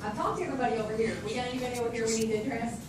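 Woman moderator, brisk and informal, distant and echoey, audible room noise. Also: on the high side. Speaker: meeting moderator. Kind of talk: public meeting, taking questions. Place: large community meeting room, indoors. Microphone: phone camera in the audience. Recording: echoey hall, distant phone mic.

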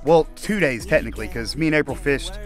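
A young man vlogging while fishing outdoors by the water, excitable and quick to laugh. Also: fairly high pitch, soft background music. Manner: excitable, shouting and laughing at catches.

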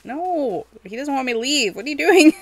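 A woman's voice: high voice, animated, normal speed, noisy background. A woman giving casual, chatty gameplay commentary as host, often talking aloud to the game's characters. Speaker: gaming video host.

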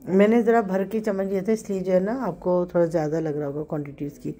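A woman with a Pakistani Urdu accent and a hoarse, sore throat, chatting through a home recipe step by step and correcting herself as she goes. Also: fairly low pitch, fairly even intonation, fast, mellow. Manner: chatty, explains step by step with small self-corrections. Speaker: home cook host. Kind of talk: casual cooking narration.

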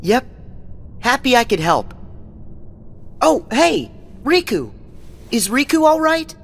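Plucky boy hero, lively pitch. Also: takes it slowly, creaky, exaggerated voice. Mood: friendly. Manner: earnest, boyish. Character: earnest, plucky, loyal teenage boy hero. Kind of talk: video game cutscene acting. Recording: game music underneath.

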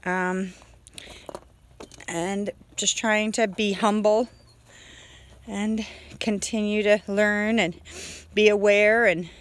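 Woman, forties, homesteader vlogger, casual and halting, thinking aloud, medium pitch, outdoors, phone at arm's length. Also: fairly even intonation. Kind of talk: casual video diary. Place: outdoors on a farm. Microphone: phone held at arm's length.

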